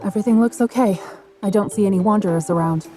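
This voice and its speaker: A woman acting as a young female hunter in a video game, capable and no-nonsense. Her mid-pitched voice is practical and skeptical, ready with a retort. Music plays clearly behind her. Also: fast talker, warm tone, lightly acted. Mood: brisk, questioning. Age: young adult.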